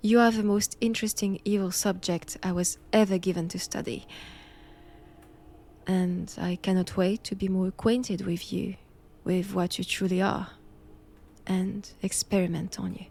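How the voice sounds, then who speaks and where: Faint background noise, woman playing a cold French-accented nun, slow and deliberate, creaky voice, haughty, hushed, intimate audio drama. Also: mid-range voice, steady tone, natural voice. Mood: haughty, composed. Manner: slow, deliberate, haughty, often dropping to a whisper. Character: cold, imperious nun examining a captive vampire.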